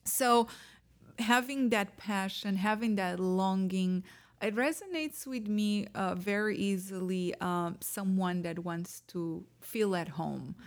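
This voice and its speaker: A woman with a Portuguese accent talks as a guest in a podcast interview. She is a native Portuguese speaker with a mid-range voice, speaking conversationally. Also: medium pitch. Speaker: podcast guest, real estate agent.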